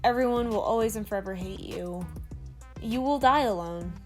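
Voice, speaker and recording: A woman's voice: mid-range voice, normal speed, faint music behind, lightly acted. A woman playing a brash, foul-mouthed young human space recruit with cocky, sarcastic delivery in a comedic audio drama. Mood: cocky, scornful.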